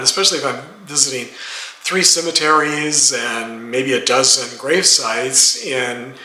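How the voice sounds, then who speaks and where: A man's voice: a little high, bright, forceful tone, echoey room, rough recording. Stuffy-nosed older man chatting. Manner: chatty, rambling, self-correcting. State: congested, stuffy-sounding. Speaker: vlogger. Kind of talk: casual talking-head vlog. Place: home in a desert town.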